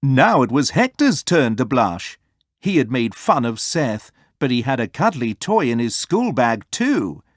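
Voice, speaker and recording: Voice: mid-range voice, big pitch swings, measured pace, dry recording, studio quality. A storyteller reading a children's story aloud as scripted narration.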